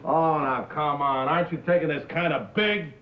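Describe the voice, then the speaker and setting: An actor playing a brash, cynical American wheeler-dealer in a 1950s film drama, with sarcastic, slangy, punchy delivery. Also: male voice, on the high side, steady tone, moderate pace, a little hiss or hum, lightly acted. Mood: cajoling. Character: brash, cynical, mocking male broadcast-business wheeler-dealer. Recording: muffled old film soundtrack.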